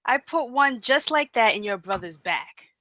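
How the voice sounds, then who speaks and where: A woman's voice: a little high, expressive, normal speed, pushed and bright, slight background noise. A woman at a screenplay table read playing a captive action hero, defiant, foul-mouthed and mockingly sarcastic. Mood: taunting. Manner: taunting, sarcastic, profane.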